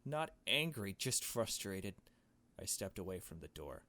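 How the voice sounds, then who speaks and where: Low-voiced man reading a game story aloud. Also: sing-song, unhurried, pushed and bright. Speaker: let's player. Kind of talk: reading a visual novel aloud with casual asides.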